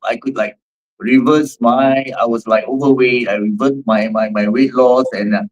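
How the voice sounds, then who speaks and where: A man's voice: medium pitch, poor audio. A man in his forties with a Singaporean accent, a fitness trainer answering interview questions in a rambling way with many fillers. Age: 40s. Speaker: guest, fitness trainer and gym owner.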